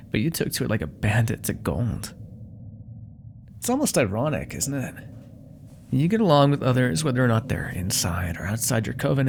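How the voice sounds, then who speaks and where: A man's voice: mid-range voice, gravelly, a little hiss or hum. A man playing a proud, menacing demon dealmaker in an ASMR roleplay, theatrical and condescending, coaxing with whispered asides. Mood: teasing, approving.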